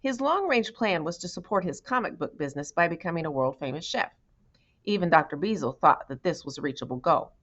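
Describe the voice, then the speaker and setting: A woman narrates a storybook in a read-aloud style. Her voice sits a little low for a woman, and the recording is slightly dull and lo-fi. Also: animated, fairly quick, fairly bright tone. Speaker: audiobook reader.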